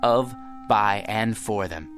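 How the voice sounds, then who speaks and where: A man's voice: on the low side, slow. An actor playing a meek middle-aged man who becomes president, in a radio drama with music beneath. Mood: solemn. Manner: gentle, fussy, talks to his dog.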